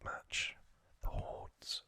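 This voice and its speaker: A man whispering an unhurried, halting ASMR explanation in a breathy, unvoiced voice, as the host of a trivia recap. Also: sharp tone.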